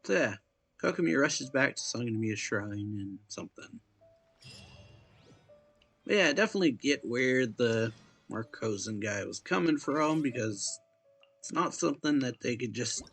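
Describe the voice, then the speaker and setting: A man giving casual, laid-back gaming commentary as a reaction streamer, halting and trailing off. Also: medium pitch, lively intonation, creaky, fairly bright tone, faint music behind.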